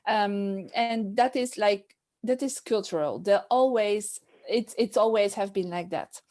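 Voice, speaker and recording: A woman's voice: medium pitch, a little slow, warm tone. A middle-aged woman from France with a French accent, speaking as a maternity nurse in an interview, hesitant with many fillers and restarts. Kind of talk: casual interview talk.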